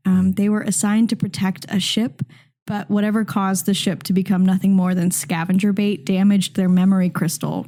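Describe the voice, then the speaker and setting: A woman's voice: lowish voice, creaky, warm tone. A woman in casual conversation as a podcast player, introducing her tabletop role-playing character.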